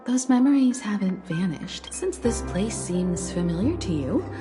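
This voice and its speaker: A woman's voice: medium pitch, expressive, unhurried, close to an everyday voice. An actress playing a composed, reassuring woman who guides others in a video game, poised and explanatory. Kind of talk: scripted game voice acting. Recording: loud music behind. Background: music.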